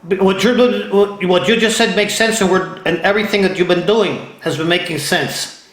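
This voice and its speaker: Male interview host from Ohio, high voice, eager, restarts a lot; echoey room. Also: normal speed, a bit bright. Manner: eager, repeats and restarts phrases. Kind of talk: casual interview.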